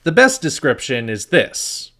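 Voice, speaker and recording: A man's voice: medium pitch, huge pitch swings, a little slow, fairly bright tone. A man hosting a video review, reading scripted narration with wry, dry asides.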